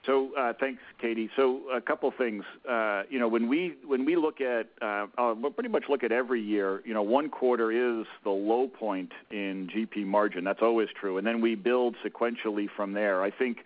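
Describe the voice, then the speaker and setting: A man with a cold, sounding croaky, answering analyst questions on an earnings call with many halting restarts. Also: mid-range voice. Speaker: company executive answering analysts. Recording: tinny phone line.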